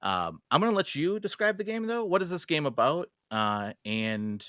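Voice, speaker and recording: A man's voice: fairly high pitch, very animated, moderate pace, sharp tone, no room sound, clean studio sound. A man hosting a podcast, asking questions in a casual, conversational way. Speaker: podcast host, interviewer.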